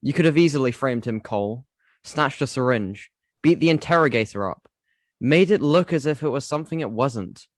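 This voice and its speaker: A man's voice: medium pitch, lively intonation, normal speed, natural voice. A man playing a stern, distrustful prison official in an audio drama, commanding and dismissive. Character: stern, distrustful male prison authority giving orders.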